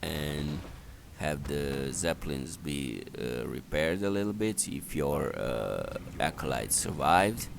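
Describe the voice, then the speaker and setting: A man giving halting, matter-of-fact, instructional commentary on a video game strategy. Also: very deep voice, animated, vocal fry, noisy background.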